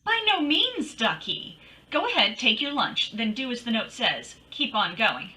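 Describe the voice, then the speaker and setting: A bold female private detective from an old-time radio mystery, played by a woman, pitched high and very animated. She sounds breezy and wry, teasing as she goes. There is clear noise behind her. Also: a little slow, exaggerated voice. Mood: breezy, sly.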